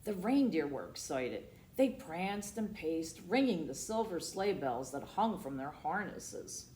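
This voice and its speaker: Animated woman storyteller in an echoey room. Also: fairly low pitch. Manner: animated, dramatic, pausing to question young listeners. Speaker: storytime host reading a picture book aloud. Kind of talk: expressive children's read-aloud with asides to listeners. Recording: roomy echo, noticeable background noise.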